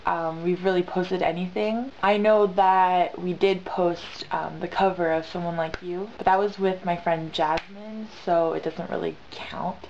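A woman's voice: on the low side, fairly even intonation, measured pace, few pauses, a little mellow. Stuffed-up young woman vlogging casually. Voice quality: stuffed-up, congested. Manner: rambling, emphatic repetition, slangy. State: sick with a stuffy nose. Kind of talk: casual, chatty vlog to camera. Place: small bedroom, indoors on a bed. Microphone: built-in camera mic at arm's length. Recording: dull lo-fi camera sound, light noise.

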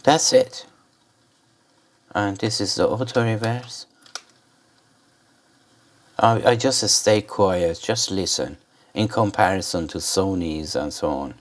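Male gadget reviewer, deep pitch, unhurried and rambling, casual hands-on demo. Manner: rambling, hesitant. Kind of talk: casual unscripted product demonstration.

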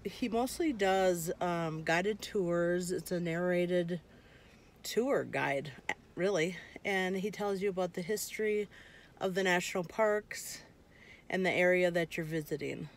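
Older woman vlogger, casual and slow, lots of ums, phone at arm's length, faint background noise. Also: on the low side. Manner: unhurried, chatty, many ums. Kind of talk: casual chat. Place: in a minivan, then outdoors at a campsite. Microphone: phone held at arm's length.

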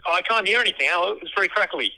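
A man's voice: high voice, lively intonation, quick pace, gravelly, bright, forceful tone. A male pharmacist answering a customer service call over a crackly telephone line. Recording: crackly, tinny phone line.

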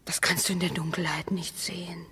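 An actress playing a wary, doubting wife in a German radio play, hesitant and sceptical, with a breathy, often whispery voice. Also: female voice, lowish voice, level tone, brisk, sharp tone, full character voice. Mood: doubtful, suspicious. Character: wary, doubting wife exploring underground with her husband. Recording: long hollow reverberation.

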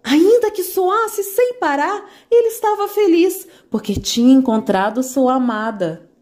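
A woman narrating a children's fairy tale in an expressive, animated way. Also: high-pitched, a little slow. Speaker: storyteller.

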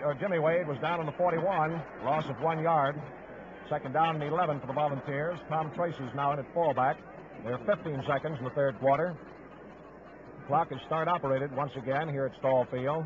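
A male sportscaster with a fairly high voice calls a football game play by play. The sound is a muffled vintage radio broadcast, with clear stadium noise behind him. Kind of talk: live radio football commentary. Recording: noisy, muffled old 1950s radio broadcast. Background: outdoor stadium crowd noise.

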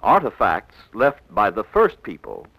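A male American narrator delivers scripted educational narration in the manner of a 1960s classroom film. He speaks slowly and instructively, enunciating each phrase, with a widely varied melody. The sound is dry, from an old film soundtrack. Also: lowish voice, huge pitch swings, fairly bright tone, dry recording, low-quality recording. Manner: measured, didactic, clearly enunciated.

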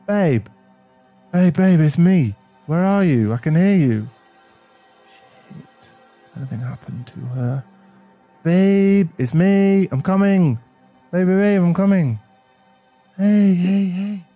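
A man's voice: high voice, animated, slow pace, pauses often, dry recording, dull audio, faint music behind. A man playing a protective, tender werewolf boyfriend in an ASMR roleplay, speaking in a soothing, reassuring manner. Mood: anxious, searching.

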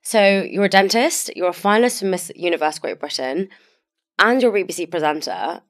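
Young woman podcast host, low-ish voice, talks fast, chatty, bit of a sore throat. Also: animated, fairly bright tone. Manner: chatty, candid, self-deprecating, fast-talking. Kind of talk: casual interview chat. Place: podcast studio with purple lighting, indoors. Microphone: studio mic on stand.

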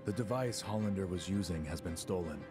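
A male actor plays a cool video game officer with a notably deep voice. He is terse and detached, commanding in a composed way. Music is prominent underneath. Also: brisk, natural voice.

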